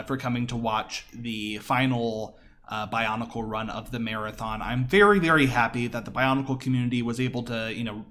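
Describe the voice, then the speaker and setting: A man's voice: mid-range voice, a bit bright. A man giving casual, explanatory live commentary on a video game as its speedrunner. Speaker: speedrunner commentating own run.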